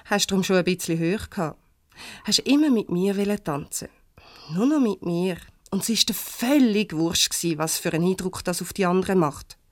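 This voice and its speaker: A woman's voice: medium pitch, no room sound, studio quality, close to an everyday voice. Defiant young Swiss German woman, played by an actress. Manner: sharp, challenging, sarcastic. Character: defiant young divorced woman employee, quick-tongued. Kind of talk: radio play acting.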